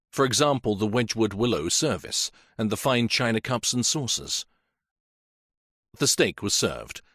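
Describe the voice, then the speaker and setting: Lively low-voiced male story narrator. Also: no room sound, clean studio sound. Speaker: audiobook narrator.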